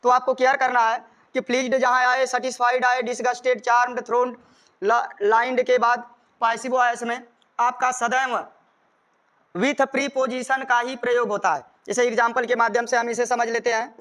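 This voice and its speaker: A man's voice: very high-pitched, monotone, normal speed, a bit bright. A man with a North Indian accent, a native Hindi speaker, teaching grammar in an emphatic, repetitive way and dictating examples word by word. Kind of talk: classroom lesson explanation with dictated examples.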